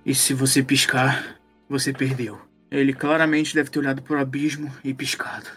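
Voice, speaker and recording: A man's voice: medium pitch, flat delivery, normal speed, fairly bright tone, soft background music, natural voice. A Brazilian man playing a world-weary, grim occult detective in a tabletop role-play, speaking in a terse, ominous way. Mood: brooding.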